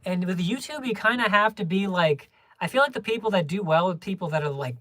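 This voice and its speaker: Rambling, low-key young livestreamer. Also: expressive, a little fast, a bit bright, dry recording. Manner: rambling, with many restarts and small laughs; self-described homebody, low-key. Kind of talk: casual livestream chat.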